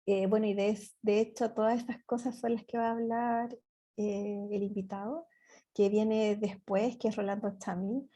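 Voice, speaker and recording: A woman's voice: medium pitch, level tone, moderate pace, dark tone. A woman giving closing remarks as a webinar panelist, earnest, sometimes repeating words as she searches for them. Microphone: video call.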